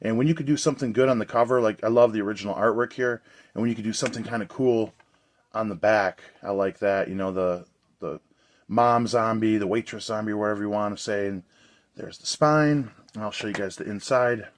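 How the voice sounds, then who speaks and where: A young man with a voice worn a little hoarse, talking in a loose, rambling way. He sounds enthusiastic and casual, reviewing a movie release for his regular channel. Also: lowish voice, a little fast. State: slightly hoarse.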